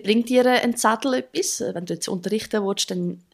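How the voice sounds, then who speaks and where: A woman's voice: a little high, moderate pace, vocal fry. A young woman with a Swiss German accent, a drummer chatting as an interview guest, laughing often and restarting her sentences. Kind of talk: casual conversational interview.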